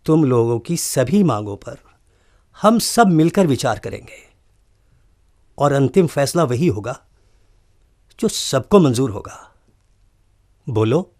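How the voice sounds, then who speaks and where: An actor playing an earnest, reproachful college principal in a radio drama, questioning emphatically with deliberate pauses. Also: male voice, mid-range voice, very animated, darkish tone. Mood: earnest, conciliatory.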